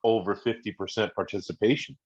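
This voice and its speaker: A man with a husky voice, talking casually in a meeting on lo-fi audio. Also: lowish voice, fairly quick, darkish tone.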